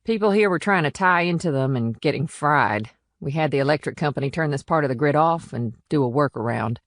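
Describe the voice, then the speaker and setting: A man's voice: a little high, lively intonation, a little fast, fairly bright tone, dry recording, pitched above the performer's own voice. Man voicing a plainspoken small-town policewoman, dry studio sound. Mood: matter-of-fact. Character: firm, plainspoken small-town policewoman defending her townsfolk. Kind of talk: audiobook character dialogue.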